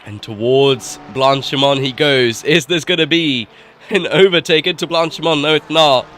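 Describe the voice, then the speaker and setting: A man's voice: fairly high pitch, a little hiss or hum. Nasal man calling a sim race. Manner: excited rises at overtakes, quick asides. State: nasal from a cold. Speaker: race commentator. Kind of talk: live sim racing commentary.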